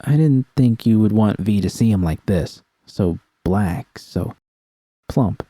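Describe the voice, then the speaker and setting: A man's voice: fairly low pitch, expressive, takes it slowly, dark tone, slightly rough, no echo, clean studio sound, exaggerated voice. A man voicing a teasing, reassuring woman with a coaxing, seductive manner in an audiobook story. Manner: coaxing, purring.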